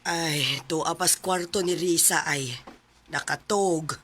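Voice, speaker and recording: A woman's voice: sits low, slow. An actress playing a fond middle-aged mother in a radio drama, with a Cebuano accent. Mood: matter-of-fact. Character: Roy's mother, fond middle-aged housewife welcoming guest. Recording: noisy recording with clicks.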